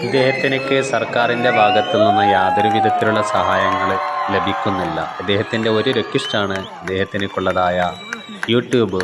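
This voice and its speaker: Guy doing video voice-over, kinda low voice, halting delivery, really loud crowd behind him. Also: very noisy. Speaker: video narrator. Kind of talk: explanatory voice-over narration addressed to viewers.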